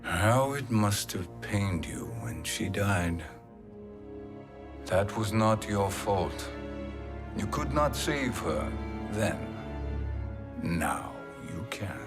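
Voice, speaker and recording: An actor playing a sly older sorcerer in a fantasy video game, speaking in a measured, silky and insinuating way. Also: male voice, lowish voice, expressive, loud music behind the voice. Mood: coaxing. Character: sly, smooth-tongued older male sorcerer, scheming. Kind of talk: cinematic fantasy game acting. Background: cinematic score.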